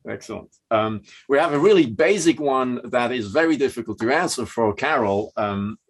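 A man's voice: mid-range voice, lively intonation, slightly rough. Swiss-accent guy moderating a science panel q&a, lots of restarts. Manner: halting, many restarts. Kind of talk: academic panel Q&A.

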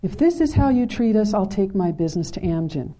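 A woman's voice: on the low side, a little fast, mellow, close to an everyday voice. A woman reading a book aloud, voicing a furious, confrontational, stocky female office assistant. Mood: furious, demanding. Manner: barking, confrontational.

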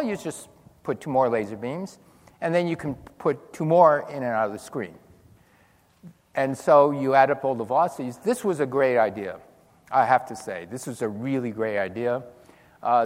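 An older man holds forth from a lecture stage, his middle-range voice trailing off into the long reverb of a large hall. He explains with wry asides and playful demonstrations. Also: mid-range voice. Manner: animated storytelling with dry humor. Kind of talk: physics lecture to an audience.

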